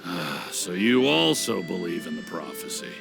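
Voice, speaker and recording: An actor voicing a calm, dreamy man in a game cutscene, musing slowly. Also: low-pitched, over loud music, full character voice. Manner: slow, musing, gravelly. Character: calm, dreamy man who is at peace with the flood. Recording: game score underneath.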